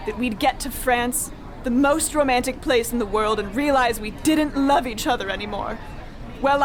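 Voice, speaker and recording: A woman acts out a fed-up wife in a comic radio play, her voice pitched high. She complains in dry, disenchanted jabs at her husband. Music plays clearly behind her. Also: close to an everyday voice. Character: exasperated, dry, disenchanted American wife on holiday. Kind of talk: comedic radio play acting.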